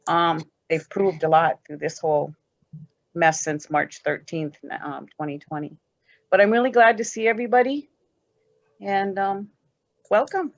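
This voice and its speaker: A woman's voice: on the low side, fairly even intonation, measured pace, dry recording. An older woman, a program manager, introducing herself casually over a video call. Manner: casual, self-deprecating. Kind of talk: self-introduction. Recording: dull dry call audio.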